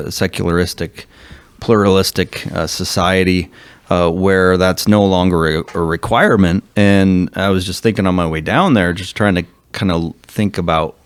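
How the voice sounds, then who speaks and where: A male pastor speaking earnestly as a podcast guest, thinking aloud with many pauses and fillers. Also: low-pitched, no echo. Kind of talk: discussion guest.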